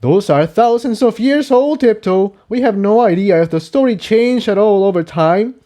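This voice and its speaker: A man's voice: high voice, expressive, moderate pace, dark tone, pitched above the performer's own voice. A man voicing an anguished, angry young male unicorn pony in a fantasy story, agitated and argumentative. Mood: angry, bitter.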